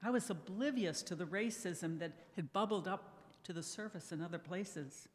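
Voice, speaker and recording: An older woman speaking softly and thoughtfully to a congregation, sharing memories in a measured way as a lay speaker. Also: on the low side. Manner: soft-spoken, measured, reminiscing. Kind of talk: reflective personal talk to a congregation. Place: church sanctuary. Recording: echoey church hall reverb.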